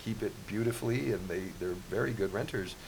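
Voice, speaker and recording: Very deep-voiced man telling a story. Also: gravelly. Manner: halting, with repeated restarts and "you know". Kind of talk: casual anecdote. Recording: faint hiss.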